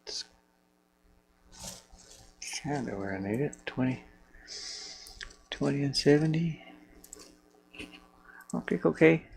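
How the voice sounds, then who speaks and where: A man's voice: a little high, lively intonation, slow pace, pauses often, a little mellow. A man casually thinking aloud to himself in short fragments as he works at a computer. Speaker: game developer narrating own work. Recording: clearly noisy with hum and clicking.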